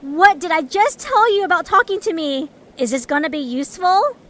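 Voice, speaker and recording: A woman's voice: very high voice, expressive, moderate pace, pushed and bright, exaggerated voice. A woman voicing a bossy, sarcastic, short-tempered female creature in an audio story, with a snappy manner. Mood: irritated, sarcastic.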